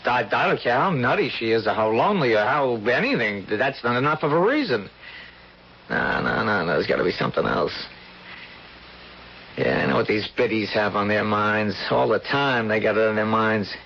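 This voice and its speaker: An actor playing a cynical, streetwise ex-convict boyfriend in a radio drama, slangy, brusque and pushy. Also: male voice, fairly low pitch, animated, moderate pace, creaky, fairly bright tone. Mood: suspicious. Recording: muffled, hissy old radio drama recording.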